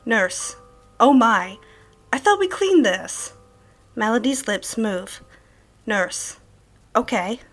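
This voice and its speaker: A woman reading a nurse's conversation transcript in a horror story, measured as she moves between stage directions and quoted lines. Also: a little high, sing-song, fairly bright tone, soft background music, heavily acted. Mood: measured, eerie. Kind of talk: scripted transcript narration.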